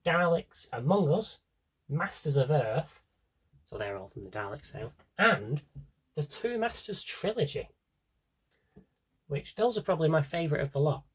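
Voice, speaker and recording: Husky-voiced man chatting off the cuff. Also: a little high, animated, moderate pace, pauses often, low-quality recording. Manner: self-interrupting, wry, thinks aloud. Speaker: collector showing his audio drama CDs. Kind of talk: casual rambling vlog chat.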